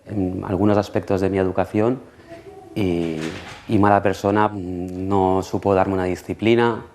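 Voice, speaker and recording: A man's voice: deep voice, darkish tone, a little hiss or hum. A gardener in his thirties speaking hesitantly, repeating words as he talks in an interview. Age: 30s. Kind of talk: documentary interview.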